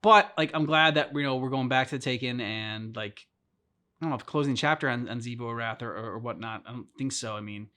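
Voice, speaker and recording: A man co-hosting a game reaction video, speaking haltingly, with many fillers, and trailing off. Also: mid-range voice, bright, forceful tone. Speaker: co-host of a game reaction channel. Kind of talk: casual reaction chat.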